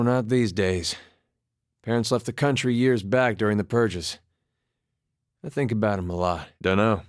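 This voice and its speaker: An actor playing a wry, easygoing farmer and war veteran with a folksy, understated way of talking, in a video game. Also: male voice, on the low side, no echo, clean studio sound, lightly acted. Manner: folksy, understated, dryly humorous, drops words. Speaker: video game companion character. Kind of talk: video game voice acting, conversational dialogue.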